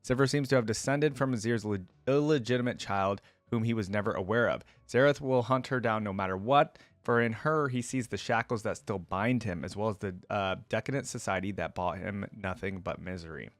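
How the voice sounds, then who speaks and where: Guy w a lowish voice doing casual reaction stuff, reads stuff out then comments on it, dry close sound no echo. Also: brisk, few pauses. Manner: informal, thinking aloud between read-out passages. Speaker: YouTube host reacting to game lore. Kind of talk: casual reaction commentary, reading wiki text aloud.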